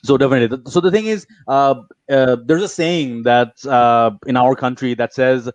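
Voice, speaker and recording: A man hosting an interview over a video call, relaxed and encouraging with slight hesitations. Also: medium pitch, moderate pace, no echo. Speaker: podcast host. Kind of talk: conversational interview.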